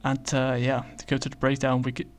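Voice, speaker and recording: Halting man reviewing comics, flat delivery. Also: mid-range voice. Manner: halting, many fillers and restarts. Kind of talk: casual spoken review.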